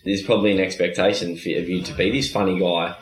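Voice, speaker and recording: A man's voice: sits low, level tone, fast talker, reverberant, rough recording. A man hosting a casual interview who asks questions and gives short agreeing replies. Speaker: podcast host.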